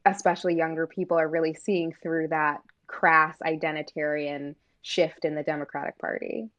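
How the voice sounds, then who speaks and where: A woman's voice: deep voice, flat delivery, normal speed, a bit bright, highs cut off. A woman from Maine co-hosting a casual political talk, chatty and full of fillers. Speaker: co-host.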